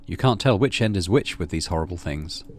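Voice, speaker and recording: A man's voice: low voice, animated, fairly quick, slight background noise. A middle-aged man hosting a gaming video, reading story text aloud and adding casual commentary. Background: game music.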